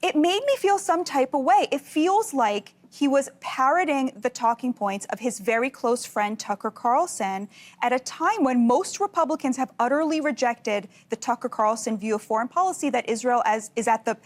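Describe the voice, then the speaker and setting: A woman's voice: a little high, moderate pace. A woman hosting a political news interview, putting opinionated questions to her guests.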